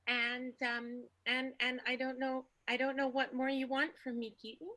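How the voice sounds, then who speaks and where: A woman's voice: high voice, level tone, normal speed, bright, forceful tone. A woman playing an exasperated, melodramatic lover in an improvised radio soap, emphatic and stammering with indignation.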